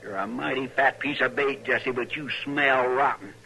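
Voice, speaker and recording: An actor playing a loyal, stubborn western sidekick with a rural Western American drawl, in old-time radio style. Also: male voice, medium pitch, normal speed, gravelly, pushed and bright. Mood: angry, threatening. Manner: folksy drawl, threatening when crossed. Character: loyal, rough-talking western lawman's sidekick, folksy and stubborn. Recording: noisy, clicky old radio recording.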